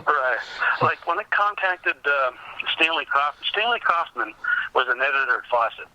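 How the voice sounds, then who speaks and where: Man, very sharp bright voice, middle pitch, halting storyteller, casual interview, muffled lo-fi sound. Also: animated, slight background noise. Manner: halting, rambling anecdotal delivery. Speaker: podcast guest, comics researcher.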